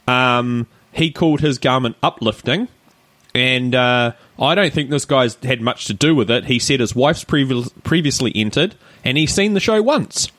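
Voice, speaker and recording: A man's voice: medium pitch, normal speed, a bit bright, dry recording. A man co-hosting a podcast, chatting casually, joking, teasing and laughing often. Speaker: podcast co-host. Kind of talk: casual chat.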